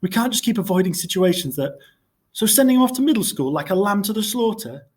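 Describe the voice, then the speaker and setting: A man's voice: high-pitched, lively intonation, fast talker, pitched above the performer's own voice. A man voicing a protective, joking father in a storybook. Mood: angry.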